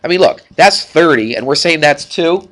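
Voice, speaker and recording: A man's voice: a little high, expressive, normal speed, a bit bright. A man with a stuffed-up nose teaching math to a class, talking informally and checking in with frequent questions. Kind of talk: classroom worked-problem lesson.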